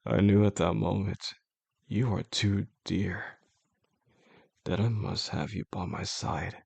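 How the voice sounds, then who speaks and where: A man acting as a possessive, obsessive male boss in an intimate audio drama. His voice is low and heavily creaky, with a husky rasp. He speaks slowly and deliberately, coaxing with calm menace and formal phrasing. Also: no room sound, heavily acted. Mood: brooding, fascinated. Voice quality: gravelly, husky. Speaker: audio roleplay voice actor. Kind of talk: intimate scripted roleplay monologue.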